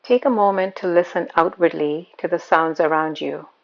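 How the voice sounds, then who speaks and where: Low, creaky-voiced woman guiding meditation. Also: lo-fi sound. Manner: calm, soothing, unhurried instruction. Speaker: yoga nidra meditation guide. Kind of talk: guided meditation.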